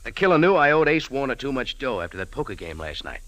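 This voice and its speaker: An actor playing a hard-boiled, wisecracking American café owner in a vintage radio mystery, with a clipped delivery. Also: male voice, mid-range voice, very animated, fast, a bit bright, no echo. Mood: insistent. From United States. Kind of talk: 1940s-50s radio detective acting, with first-person narration.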